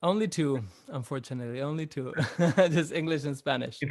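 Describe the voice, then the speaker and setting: A young man raised in Chile, a musician guest in an interview, answering in a relaxed, reflective way with many fillers. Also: a little high, moderate pace.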